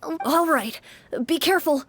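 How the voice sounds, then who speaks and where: A woman's voice: high voice, unhurried, a little hiss or hum, voice pushed up. A woman voicing an anxious, polite, apologetic male villager with a hesitant, fretful manner as she reads game dialogue aloud. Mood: worried. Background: game music.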